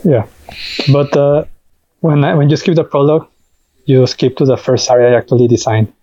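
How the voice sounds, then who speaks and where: A man's voice: medium pitch, moderate pace, dark tone, dry recording, highs cut off. A man who is a native Spanish speaker, explaining his work as a game developer in a casual interview, in piecemeal phrases.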